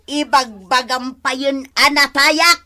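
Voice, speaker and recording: A woman's voice: sits high, steady tone, slow, bright, forceful tone, heavily acted. A woman with a Filipino accent acting an angry, suspicious village wife in an Ilocano barangay comedy drama. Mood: furious. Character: angry suspicious village wife confronting her cheating husband.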